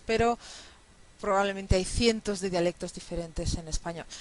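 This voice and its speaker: Female lecturer, average pitch, didactic delivery of a slide lesson with frequent check-in tags. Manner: didactic, often checking understanding with short tag questions. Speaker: university linguistics lecturer. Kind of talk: lecture narrated over slides.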